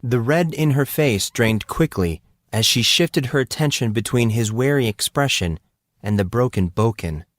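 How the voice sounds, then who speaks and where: A man narrates a fantasy novel in a scripted, dramatic storytelling style. His pitch sits in the middle for a male voice. The recording is dry, with hardly any room sound. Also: lively intonation, moderate pace, no room sound. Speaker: audiobook narrator.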